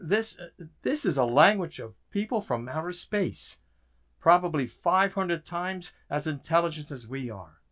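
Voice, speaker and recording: An actor playing a weary, dry-witted government codebreaker in an emphatic radio drama style. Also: male voice, fairly high pitch, lively intonation, measured pace, a bit bright. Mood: weary, frustrated.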